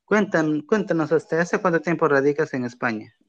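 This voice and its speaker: A man's voice: on the high side, expressive, fairly quick, highs cut off. A man with a Peruvian accent asking casual interview questions over a remote call. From Peru.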